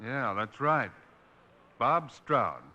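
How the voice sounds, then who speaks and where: An actor playing a stern, resolute American marshal of the Old West in a radio drama. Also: male voice, medium pitch, lively intonation, very slow, bright, forceful tone, exaggerated voice. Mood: grave, firm. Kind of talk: 1950s radio western acting. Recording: dull old radio recording, faint noise.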